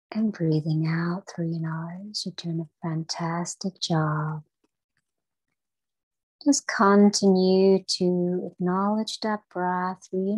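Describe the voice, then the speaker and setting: Slow-paced woman guiding a meditation. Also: mid-range voice, no room sound, rough recording. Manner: gentle, soothing, encouraging instruction. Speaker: meditation guide. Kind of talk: guided breathing meditation for children.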